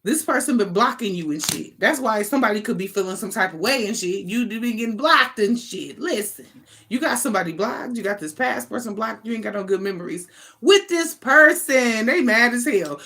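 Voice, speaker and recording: A woman's voice: a little high, lively intonation, normal speed, a bit bright, slight background noise. Emphatic American woman reading tarot. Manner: emphatic, slangy, conversational, swears casually. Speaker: tarot reader, online channel host. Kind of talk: informal improvised tarot reading.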